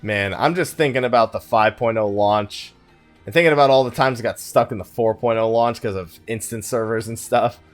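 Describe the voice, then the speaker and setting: A man's voice: mid-range voice, a little fast, soft background music. A man hosting a let's play with casual gaming commentary, thinking aloud and muttering asides to himself.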